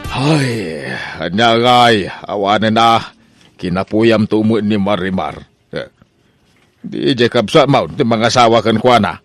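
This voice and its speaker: A man's voice: on the low side, measured pace, no room sound, soft background music, close to an everyday voice. A man with an Ilocano accent playing an exasperated, scolding old father of grown sons in a comedy radio drama.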